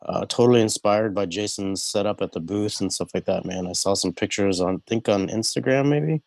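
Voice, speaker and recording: A man's voice: lowish voice, brisk, gravelly, a little mellow, no room sound. A man co-hosting a casual video-call chat. He is laid-back, sounds congested from a cold, and keeps saying "man" and "stuff like that". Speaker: host.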